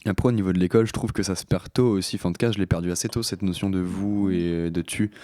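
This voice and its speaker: A young man leads a relaxed table conversation, speaking fast with a very low voice. He explains his points at length, tossing in fillers as he goes. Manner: quick, explanatory talk with fillers. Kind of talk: casual chat.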